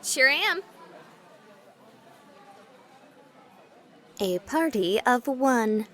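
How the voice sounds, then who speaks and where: Bubbly high-pitched young streamer girl. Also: lively intonation, slow pace, a bit bright, a faint crowd, full character voice. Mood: cheerful. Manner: perky, playful, slangy. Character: bubbly, cheeky young female livestreamer on a ghost hunt. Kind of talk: acted livestream chatter in a visual novel.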